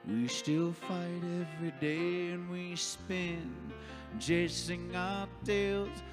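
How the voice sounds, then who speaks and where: Female vocalist, very unhurried sung delivery, mid-range, strong plucked guitar accompaniment. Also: fairly low pitch, fairly even intonation. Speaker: singer. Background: guitar music.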